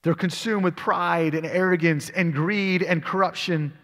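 A man's voice: high-pitched, steady tone, unhurried, fairly bright tone. A male pastor preaching a sermon, reading scripture aloud and asking his congregation questions. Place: church sanctuary. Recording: long church hall reverb.

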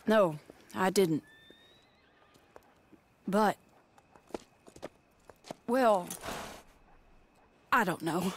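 Genteel young frontier woman, American, unhurried, earnest, gently reproving, game drama. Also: mid-range voice, slight background noise. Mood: reproving, regretful. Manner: proper, pleading, gently reproving. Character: genteel, earnest, troubled young lady of the Old West. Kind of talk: video game cutscene dialogue.

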